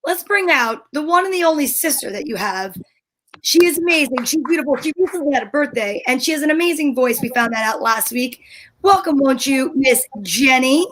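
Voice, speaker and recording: A woman's voice: high voice, lively intonation, brisk, a bit bright, low-quality recording. A woman cohosting a casual video call show, teasing and playful as she talks. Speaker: host.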